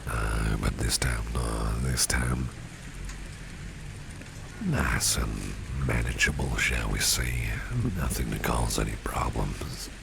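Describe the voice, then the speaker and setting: A man playing a protective, flirtatious boss with courtly manners in an ASMR roleplay, speaking in a gravelly, breathy half-whisper. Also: very deep voice, full character voice. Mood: courtly, soothing. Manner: slow, suave, courtly phrasing. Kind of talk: ASMR roleplay spoken to the listener. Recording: steady rain and street ambience noise. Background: rain falling, passing vehicles.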